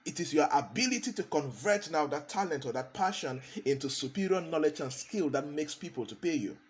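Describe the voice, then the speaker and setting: A man's voice: fairly high pitch, animated, fairly quick, a little hiss or hum. A man, a consultant giving a motivational talk, hammering points home by repeating key phrases. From Cameroon.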